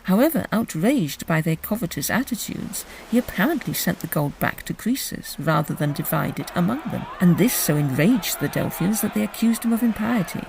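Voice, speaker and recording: A woman's voice: on the low side, animated, normal speed, vocal fry, hardly any pauses, darkish tone, slight background noise, poor audio. A woman hosting a podcast and reading scripted storybook narration.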